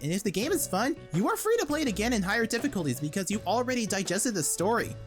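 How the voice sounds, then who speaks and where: Fast talking woman doing a gaming video essay, playful and informal, music in the back. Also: fairly high pitch. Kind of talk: scripted narration of a video game essay. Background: background music.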